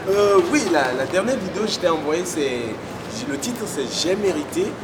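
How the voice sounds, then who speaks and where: A man's voice: sits high, expressive, normal speed. A young man from Chad, a guest musician, answering interview questions in a hesitant way with many fillers. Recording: loud background noise.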